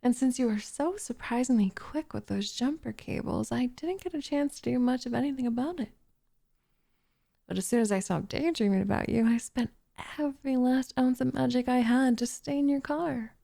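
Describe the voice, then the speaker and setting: A woman playing a possessive, lovestruck witch in an ASMR roleplay, coaxing and condescending in a soft voice that slips into whispers. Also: high-pitched, normal speed, gravelly. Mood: infatuated, hushed.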